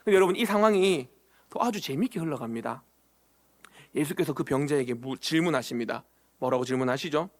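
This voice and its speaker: A man's voice: a little high, animated, a little fast. A man preaching a sermon as pastor of a church, often asking the congregation questions and acting out short quoted lines. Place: church sanctuary.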